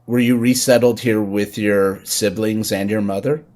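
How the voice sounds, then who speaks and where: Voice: medium pitch, steady tone, normal speed, darkish tone. A podcast host conducting an interview, curious and asking long, searching questions. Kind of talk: interview conversation.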